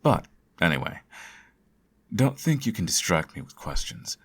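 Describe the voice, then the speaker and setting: A man playing a sardonic, theatrical supervillain who fusses over his nemesis in an ASMR audio roleplay, his voice slightly husky. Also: deep voice, very animated, a little slow, fairly bright tone, full character voice. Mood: smug, teasing. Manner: theatrical, teasing, sarcastic.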